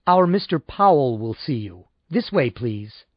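A man's voice: medium pitch, very animated, measured pace, no room sound, muffled recording. A man voicing a polite, efficient female office receptionist with formal courtesy in an audiobook.

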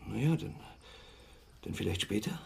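A man playing a gentle, evasive father and husband in a naturalistic radio drama, soft and hesitant. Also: lowish voice, animated, normal speed, vocal fry, slightly rough, heavily acted. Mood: soothing.

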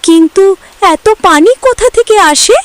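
A woman's voice: very high voice, measured pace, no room sound. A woman voicing a frightened, eager little child bird in a children's cartoon story. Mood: anxious, curious.

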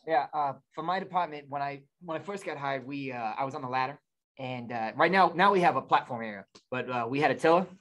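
Male panelist, casual storyteller, medium-pitched voice. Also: quick pace, fairly bright tone. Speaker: firefighter panelist. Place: event booth room with curtain backdrop.

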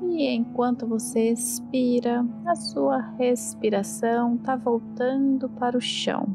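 A woman with a Brazilian accent, calmly interpreting a guided meditation in measured phrases. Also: on the high side. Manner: calm, measured. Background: meditation music.

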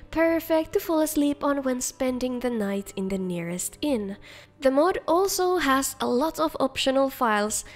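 A woman hosting a game mod showcase, reading upbeat scripted narration as a voice-over. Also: high-pitched. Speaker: video host, game mod reviewer.

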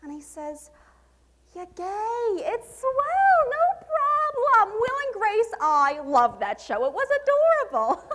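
Gushing, high-pitched suburban mother. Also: sing-song, takes it slowly, fairly bright tone, a little hiss or hum, full character voice. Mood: exasperated, gushing. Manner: theatrical, effusive, self-congratulating, stammering. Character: nervous, gushing, proud middle-aged suburban mother. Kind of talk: dramatic monologue in a speech competition.

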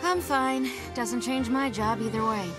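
A woman's voice: fairly high pitch, a little slow, over loud music, natural voice. A woman playing an aloof, brusque young soldier in a video game, speaking in terse phrases. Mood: guarded. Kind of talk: English game voice acting.

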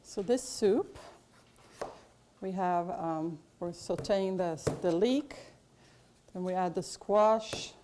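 A middle-aged woman with a low, mellow voice talks through a cooking demo. She explains as she works, chatty and full of fillers, recorded by a camera across a big kitchen with a faint hiss behind her. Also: slow, pauses often. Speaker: chef and cooking instructor. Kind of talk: casual cooking demonstration. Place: large kitchen classroom indoors.

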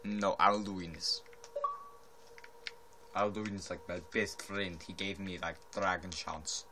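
A young man with a deep voice, teasing and rambling as he watches a friend play. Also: fairly even intonation, takes it slowly. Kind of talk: casual banter. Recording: home video with noticeable background noise.

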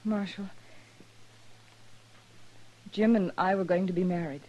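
A woman's voice: fairly low pitch, fairly even intonation, measured pace, warm tone. An actress playing a defensive saloon woman in a 1950s radio drama, evasive and bristling. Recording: muffled old radio recording.

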